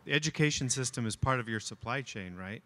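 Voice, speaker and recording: Man, bright forceful voice, measured question, hall echo. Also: mid-range voice, animated. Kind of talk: asking a panel question.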